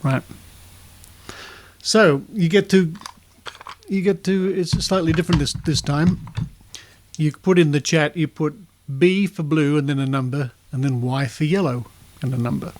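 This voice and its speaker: A man's voice: on the high side, fairly even intonation, measured pace, long pauses, a little hiss or hum. Chatty middle-aged Australian man. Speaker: podcast host. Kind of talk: casual podcast presenting.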